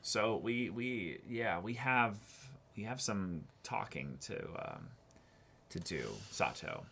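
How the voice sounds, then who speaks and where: Animated, low-voiced man, forceful delivery. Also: vocal fry, husky. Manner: halting, repeats phrases, acts out imagined quotes. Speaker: co-host of an anime reaction show. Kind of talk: casual discussion of an anime episode.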